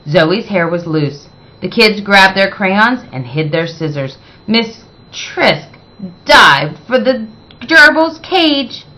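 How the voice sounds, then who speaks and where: Bright, unhurried woman storyteller. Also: medium pitch, rough recording. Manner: deliberate, dramatic emphasis. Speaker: read-aloud storyteller. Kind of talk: expressive children's picture book narration.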